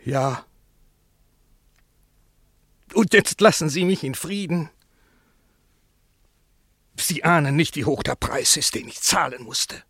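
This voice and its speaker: A man's voice: a little high, lively intonation, slow, pauses often, a bit bright, no room sound, clean studio sound, full character voice. An actor playing a proud, guarded wealthy father with a guilty secret, curt and formal in a radio drama. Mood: bitter, weary. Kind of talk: German radio drama acting.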